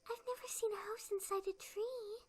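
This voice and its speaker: A woman voicing a timid, gentle and anxious young female pony in an animated fan drama, soft-spoken, hesitant and apologetic. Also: very high voice, normal speed. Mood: curious, hesitant. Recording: music under the voice. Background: music.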